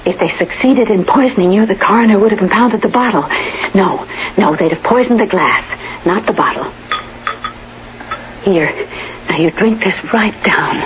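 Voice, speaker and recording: A woman's voice: mid-range voice, moderate pace, muffled recording, close to an everyday voice. Actress as scheming jealous woman, noisy old radio. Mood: hushed coaxing. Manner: coaxing endearments, melodramatic. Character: scheming jealous woman villain posing as caring friend. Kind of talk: 1980s radio drama acting.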